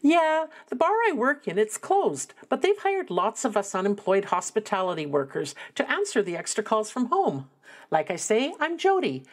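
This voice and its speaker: A woman's voice: medium pitch, big pitch swings, normal speed. A woman playing a chirpy young bartender who now answers calls for the unemployment office. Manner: bubbly, breezy.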